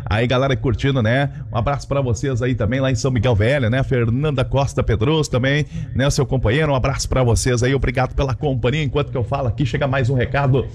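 Man, Brazilian Portuguese accent, radio DJ, chatty and folksy, studio mic, middle pitch. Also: steady tone, fast talker, darkish tone. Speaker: radio host. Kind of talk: radio DJ chat.

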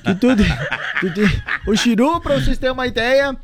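A man's voice: very high-pitched, big pitch swings, a little slow, a crowd in the background. A man from Santa Catarina, a radio co-host who tells teasing stories. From Santa Catarina, Brazil. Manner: teasing, storytelling.

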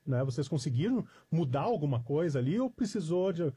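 Male talk host, higher-pitched, chatty, rambling questions. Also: very animated, a little fast, mellow, husky, no echo. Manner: chatty, filler-heavy questions with rising emphasis. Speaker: radio show host. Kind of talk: live talk show interview.

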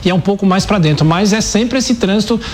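Brazilian male studio co-anchor, slightly high voice, explains for viewers. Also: a little fast. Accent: Brazilian Portuguese. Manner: explanatory, orients the audience. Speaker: news co-anchor. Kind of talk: live studio news anchoring.

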